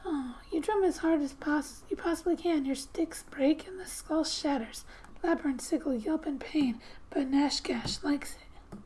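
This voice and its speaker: A soft-spoken woman reading game text aloud in a gentle, hushed ASMR style with musing asides, often slipping into a whisper. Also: high voice, a little slow, a little hiss or hum. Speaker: gaming ASMR host.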